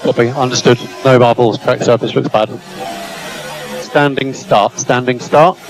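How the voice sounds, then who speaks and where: A male race engineer speaks over team radio in short, clipped instructions, often repeating them. His voice is mid-pitched, and music plays clearly behind the transmission along with vehicle noise. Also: steady tone, a little slow, rough recording. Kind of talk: terse radio instructions to a driver. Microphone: radio headset.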